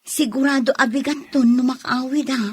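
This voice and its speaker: A woman's voice: sits high, steady tone, moderate pace, slightly rough. A woman playing a caring, practical wife in an audio drama, speaking with an Ilocano accent. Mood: concerned. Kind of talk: dramatized radio-style story acting.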